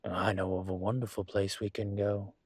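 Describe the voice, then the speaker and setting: A man playing a suave, courteous dragon mafia boss in an intimate roleplay audio, with a husky, breathy voice and a gentle, teasing formality. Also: lowish voice, flat delivery, normal speed, dry recording. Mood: tender, flirtatious.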